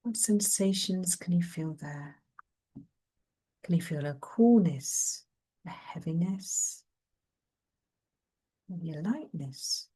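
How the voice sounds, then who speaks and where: Slow, mellow woman guiding meditation. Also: lowish voice, slightly rough. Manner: gentle, soothing, unhurried with long pauses. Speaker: meditation guide, therapist. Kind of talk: guided mindfulness meditation, softly spoken.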